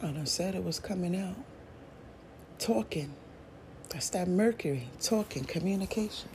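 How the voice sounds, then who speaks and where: A woman's voice: fairly low pitch, lively intonation, moderate pace, a little mellow, a little hiss or hum. A woman hosting a casual solo podcast, talking in a loose, musing way with slang and thinking-aloud restarts. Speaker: podcast host.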